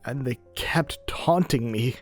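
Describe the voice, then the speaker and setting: A man's voice: mid-range voice, slow, natural voice. A man voicing a troubled young skeleton monster in a comic dub, hesitant, brooding and full of guilt. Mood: haunted. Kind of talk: amateur comic dub voice acting. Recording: music bed under the voice.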